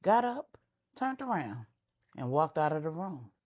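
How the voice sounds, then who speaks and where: A woman's voice: low voice, big pitch swings, a little slow, darkish tone, lo-fi sound. A woman storyteller narrating serial fiction in a dramatic style.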